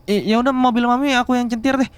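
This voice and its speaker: A man playing a devoted, bashful younger boyfriend in love with his boss, speaking softly and haltingly in an intimate ASMR romance roleplay. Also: extremely high pitch, fairly even intonation, clear, resonant tone. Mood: worried, fussing. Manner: soft, halting, tender, with murmured hums.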